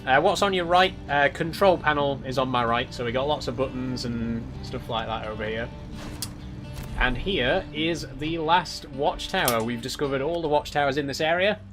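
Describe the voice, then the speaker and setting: A man's voice: mid-range voice, moderate pace. A British man chatting casually and politely to viewers as a livestreamer. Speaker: live streamer. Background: background guitar music.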